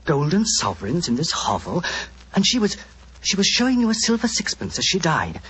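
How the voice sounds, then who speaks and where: A man's voice: a little high, huge pitch swings, brisk, fairly bright tone. An actor playing an agitated, well-spoken male painter in a 1940s radio mystery, hesitant and dramatic. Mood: puzzled, shaken. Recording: noisy, hissy 1940s radio recording.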